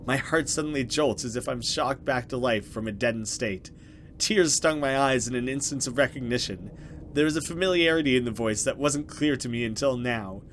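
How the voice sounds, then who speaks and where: A male let's play host with a medium-pitched voice. He reads horror game narration in a dramatic way and comments casually, with faint noise behind him. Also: normal speed, fairly bright tone.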